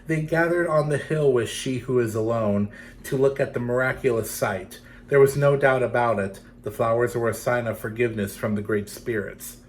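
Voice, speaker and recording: A man storyteller reading expressively for children, with dramatic, deliberate phrasing that addresses young listeners. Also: medium pitch. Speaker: storyteller for children's theatre series.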